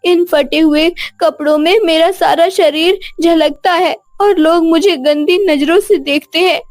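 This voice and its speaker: A woman voicing a poor, sweet young street-seller girl in a plaintive, drawn-out way for an animated moral story. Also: very high-pitched, no room sound, studio quality, voice pushed up. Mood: sad.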